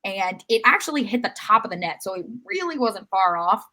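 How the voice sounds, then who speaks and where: A woman's voice: medium pitch, big pitch swings, fairly quick, bright, forceful tone. A woman co-hosting a podcast, talking sports in a casual, analytical way. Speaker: podcast co-host.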